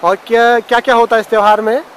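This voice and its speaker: A man from India interviewing locals casually outdoors, inquisitive and quick to acknowledge each answer. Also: very high-pitched, level tone, background noise.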